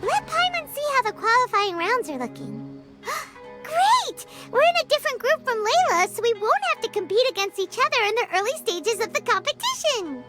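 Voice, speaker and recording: Game mascot fairy: childlike, eager, very high and wildly animated. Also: female voice, normal speed, over music. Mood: excited. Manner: refers to self by name, chirpy, eager. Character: small excitable floating fairy companion, childlike girl. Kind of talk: video game character acting.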